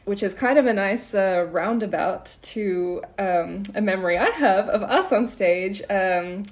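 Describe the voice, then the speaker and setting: A woman's voice: mid-range voice, unhurried. A woman hosting a casual interview. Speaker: podcast host.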